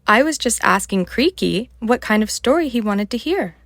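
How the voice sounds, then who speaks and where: A woman's voice: a little high, lively intonation, normal speed, fairly bright tone, dry recording, pitched above the performer's own voice. A woman voicing a curious, doubting young woman who questions eagerly, in a children's storybook reading. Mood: friendly.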